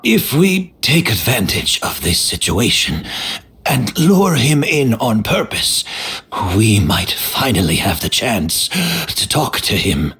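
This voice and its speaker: Calm gentle guy in a game, slow and husky, measured. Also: medium pitch, heavily acted. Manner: measured and composed. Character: calm, gentle man, quietly resolved. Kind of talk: video game voice acting.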